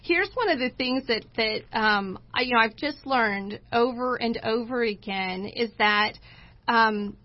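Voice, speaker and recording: Woman with a kinda high voice chatting on an inspirational show, emphatic pauses, slightly muffled lo-fi audio. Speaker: talk show co-host. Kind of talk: conversational inspirational talk. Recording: dull, lo-fi audio.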